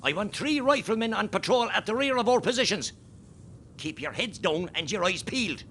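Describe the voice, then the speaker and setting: A man with an Irish accent playing a steady, rousing rebel column commander in a radio play. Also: normal speed, exaggerated voice. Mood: rallying. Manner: commanding, swinging from quiet briefing to rallying. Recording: faint rumbling background noise.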